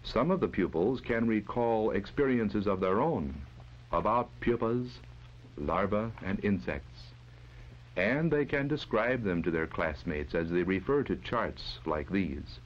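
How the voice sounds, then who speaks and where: A man giving measured, formal, instructive narration in mid-century educational film style. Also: on the low side, animated. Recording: dull old educational film soundtrack.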